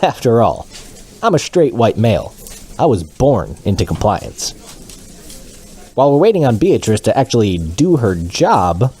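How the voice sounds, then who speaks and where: A man's voice: mid-range voice, warm tone, husky. An actor playing a smug, sarcastic male local news anchor in a comedy audio drama, with a pompous newscast delivery.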